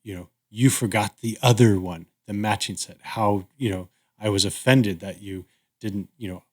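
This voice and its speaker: A man co-hosting a podcast, discussing casually in an agreeable, thoughtful and somewhat halting way. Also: on the low side. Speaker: podcast co-host.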